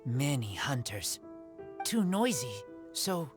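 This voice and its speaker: An actor voicing a quiet, blunt, wolf-raised boy who speaks in halting broken phrases, in an English game dub. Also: medium pitch, big pitch swings, music in the background. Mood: guarded, halting.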